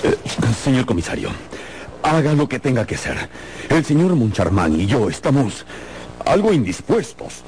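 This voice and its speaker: An actor playing a flustered, evasive opera director in a radio drama. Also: male voice, medium pitch, huge pitch swings, moderate pace, darkish tone, a little hiss or hum. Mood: weary, dismissive.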